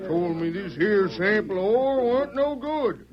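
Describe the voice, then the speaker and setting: An actor playing an excitable, folksy old gold prospector with a rustic American drawl in a radio western. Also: male voice, high voice, measured pace, a crowd in the background. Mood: gleeful. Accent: American, rustic Old West drawl. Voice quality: cackling, folksy. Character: cackling old gold prospector, gullible, quick to anger. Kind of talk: 1940s radio western acting. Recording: muffled lo-fi old radio recording.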